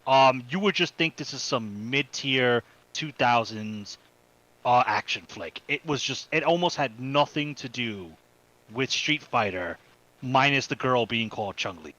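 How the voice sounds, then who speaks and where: A man co-hosting a podcast, chatting casually in a halting, informal, slangy way. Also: medium pitch, bright, forceful tone, low-quality recording. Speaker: podcast co-host. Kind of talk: casual chat about video game movies.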